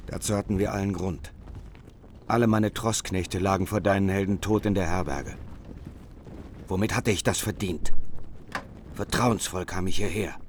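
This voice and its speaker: An actor playing a wronged, reproachful lord in a German radio drama. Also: male voice, sits low, normal speed, long pauses, slight background noise, close to an everyday voice. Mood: aggrieved.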